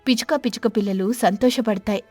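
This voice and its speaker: A woman's voice: mid-range voice, a little fast, a little mellow, dry recording, faint music behind. A woman reading a scripted children's story as the storyteller.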